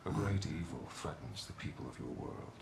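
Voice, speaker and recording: Stern old-world blade guardian for a video game, pompous and grave, echoey with loud noise behind. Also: male voice, very deep voice, animated, normal speed, creaky, full character voice. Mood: grave menace. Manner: grave, pompous, formal archaic speech. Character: imposing ancient warrior guardian, stern and merciless. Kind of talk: scripted video game acting.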